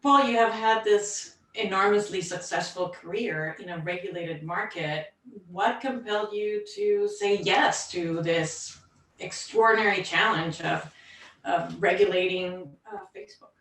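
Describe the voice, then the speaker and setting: A woman's voice: mid-range voice, unhurried, a bit bright. A woman speaking with a Cuban accent, talking earnestly as a panelist in a discussion. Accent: Cuban Spanish. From Cuban American. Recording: echoey and distant from the mic.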